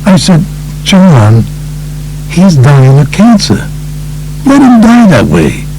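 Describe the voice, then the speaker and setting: A man's voice: fairly high pitch, wildly swooping pitch, slow, noisy background, pitched above the performer's own voice, full character voice. A man voicing a blunt, streetwise New York mobster who tells his story in profane, emphatic, short phrases for an audiobook. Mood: indignant, blunt.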